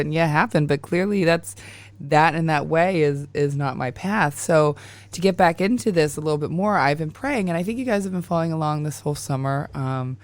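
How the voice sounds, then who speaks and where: Raspy-voiced woman host, dry close sound. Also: low-pitched, no echo. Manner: chatty, laughs often, restarts sentences. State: hoarse, recovering from sinus infection. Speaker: podcast host. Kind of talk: casual chat.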